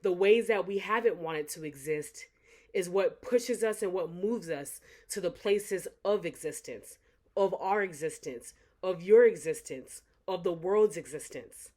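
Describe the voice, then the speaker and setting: A woman's voice: fairly low pitch, a little slow, mellow. A young adult woman, an author, speaking earnestly and reflectively to her supporters in a motivational talk, often repeating phrases for emphasis. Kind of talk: motivational direct-to-camera talk.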